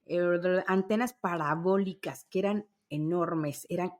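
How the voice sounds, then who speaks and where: A woman's voice: fairly low pitch, unhurried. A woman co-hosting a casual nostalgic chat, speaking expressively and emphatically and voicing bits of quoted dialogue. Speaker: podcast co-host.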